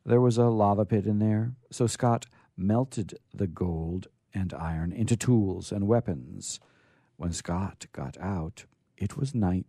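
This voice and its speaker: A man hosting a radio show, reading stories aloud in an informal, halting but theatrical way. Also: lowish voice, creaky, dark tone, husky, no room sound, studio quality. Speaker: radio show host and storyteller.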